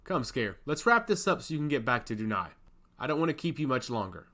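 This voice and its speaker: A man's voice: medium pitch, big pitch swings, fairly quick, pushed and bright. A man voicing a stern, sly god of intellect in a fantasy story, lecturing solemnly and emphatically. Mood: probing. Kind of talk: fantasy audiobook character acting.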